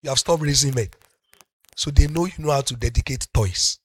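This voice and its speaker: A middle-aged Nigerian pastor with a Yoruba-speaking accent preaching a sermon, moving from soft talk up to loud, passionate shouting. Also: male voice, medium pitch, fairly quick, breathy edge.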